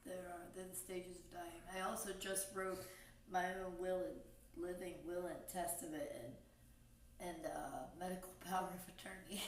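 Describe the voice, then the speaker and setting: A woman in her thirties chatting casually on a podcast, relaxed and laughing between lines. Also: on the low side, normal speed, far from the mic. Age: 30s. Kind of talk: casual chat. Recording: echoey, off-mic, faint hiss.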